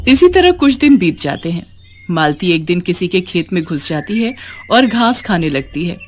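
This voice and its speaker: A woman narrating a scripted moral story with expressive storytelling. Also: fairly low pitch, a little hiss or hum.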